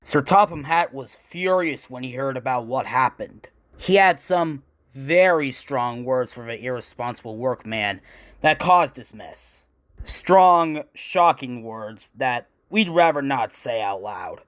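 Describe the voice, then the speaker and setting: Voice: mid-range voice, lively intonation, slow, fairly bright tone. A narrator reading a scripted children's-style story for a comic dub.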